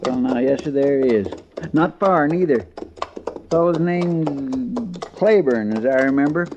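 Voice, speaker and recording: An actor playing a talkative, simple-hearted frontier sidekick with a rural Western drawl and rambling, deferential homespun manner, in 1950s radio western style. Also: male voice, mid-range voice, measured pace, close to an everyday voice. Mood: curious, chatty. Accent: rural Western American drawl. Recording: muffled, noisy vintage radio recording.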